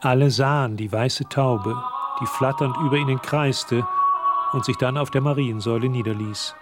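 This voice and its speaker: A man's voice: medium pitch, fairly even intonation, normal speed, over loud music, lightly acted. A man reading scripted narration for a radio drama, telling the story as narrator. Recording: clear ambient noise behind voice.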